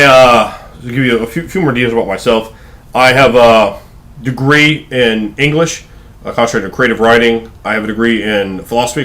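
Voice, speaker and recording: Low-voiced Southern man rambling to camera. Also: fairly bright tone. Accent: Southern US (Virginia). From Virginia, USA. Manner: rambling, many fillers and restarts. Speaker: vlogger. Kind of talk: casual talking-head vlog. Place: living room at home.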